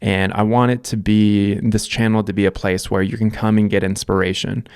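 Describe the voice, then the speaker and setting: Low, smooth-voiced Canadian man. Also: level tone, moderate pace, a little mellow, no echo, studio quality. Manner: reflective, loose, lots of fillers and restarts. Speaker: vlogger. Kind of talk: casual life-story monologue.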